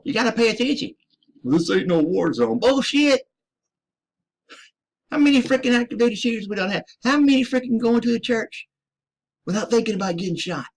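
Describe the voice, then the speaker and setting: A man's voice: very high voice, animated, a little fast, long pauses. Profane, ranting American veteran man. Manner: profane, emphatic, addresses listeners as ladies and gentlemen. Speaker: military veteran. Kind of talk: ranting monologue.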